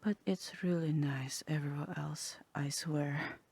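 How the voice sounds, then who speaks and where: A woman voicing a sly, teasing demon in a half-whispered ASMR roleplay, languid and wry, with a husky voice. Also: low-pitched, fairly even intonation, unhurried, darkish tone, dry recording, heavily acted. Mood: teasing, coaxing. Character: sly, teasing demon tempting a human toward hell.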